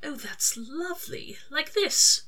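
A woman voicing a gentle, earnest male angel in a story, with polite, old-fashioned, hesitant phrasing. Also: high voice, lively intonation, slow pace, voice pushed up, exaggerated voice. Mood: delighted.

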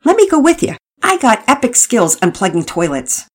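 A woman's voice: a little high, big pitch swings, moderate pace, slightly rough, pitched above the performer's own voice. Woman doing a slangy, eager young neighbor guy. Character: cheery young tattooed neighbor man, helpful.

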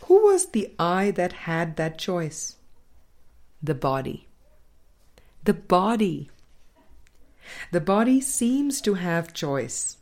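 A woman reading a spiritual book aloud as scripted narration, in a deliberate, measured manner with pauses between phrases. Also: on the low side, big pitch swings, a little mellow. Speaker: audiobook reader.

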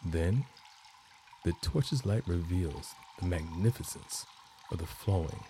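Very deep, slow-spoken man guiding meditation. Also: expressive, mellow, a little hiss or hum. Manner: slow, measured phrasing with long pauses. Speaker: meditation guide and podcast host. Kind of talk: guided meditation narration.

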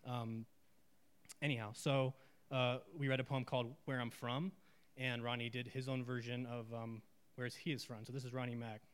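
A man who leads a writing workshop, introducing his students' pieces in a loose, halting way and reading them aloud slowly and deliberately. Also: medium pitch, little pitch movement. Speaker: writing workshop leader reading participants' work. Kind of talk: live reading to an audience.

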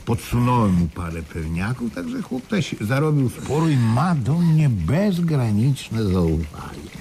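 A man's voice: on the low side, creaky, a little mellow, heavily acted. An actor playing a sly, chuckling crooked businessman in a radio play. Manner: chuckling, sly, repeats words. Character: gleeful, scheming male swindler, partner in a crooked stock firm. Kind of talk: 1980s Polish radio play acting. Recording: noisy vintage radio drama recording.

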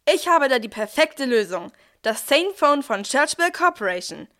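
A woman playing a brisk, managing archangel who narrates a nativity audio play. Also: high-pitched, very animated, a bit bright. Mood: salesy, upbeat.

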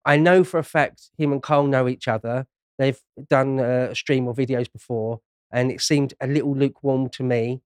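Male panellist, medium pitch, casual conversation, dry studio sound. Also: fairly even intonation, moderate pace, clean tone, dry recording. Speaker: podcast panellist.

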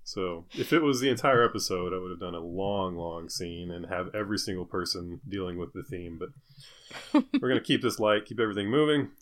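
A man's voice: deep voice, a little fast. A man hosting a podcast, chatting casually and narrating a comic script. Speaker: podcast host.

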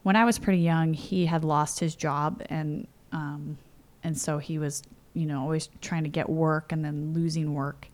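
A woman's voice: low-pitched, level tone, normal speed, few pauses, darkish tone. A woman in her forties from California, telling personal stories in a chatty interview full of "you know" and "like". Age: 40s. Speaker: interview guest. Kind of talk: casual storytelling. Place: home.

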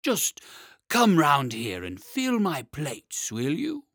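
A huge armored dragon, as a male narrator puts him on, drawling each word slowly with a damp, spluttering edge. His sly politeness swings through a lively, rising and falling pitch. The sound is close and dry. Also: dry recording, exaggerated voice. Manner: slow and drawn out.

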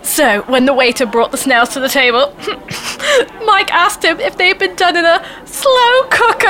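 A woman's voice: extremely high pitch, heavily acted. A woman playing a posh, affected, pretentious well-travelled diner in a comedy sketch. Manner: affected, showing off.

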